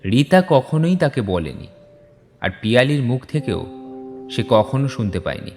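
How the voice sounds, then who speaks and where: A man's voice: medium pitch, sing-song, moderate pace, darkish tone. A man narrating a scripted audio story as its storyteller. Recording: long reverb on the voice. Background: faint music.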